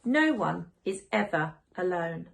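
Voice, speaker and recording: A woman reading a picture book aloud to children as a school storyteller, in an expressive narrating style. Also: fairly low pitch, takes it slowly, creaky, slight background noise.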